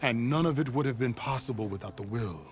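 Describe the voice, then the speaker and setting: A man's voice: mid-range voice, lively intonation, fairly quick, music in the background, natural voice. Solemn overseer for a video game male actor, ceremonious and deferential, medium register, scored underneath. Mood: reverent. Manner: formal, reverent, ceremonious. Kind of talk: dramatic video game acting.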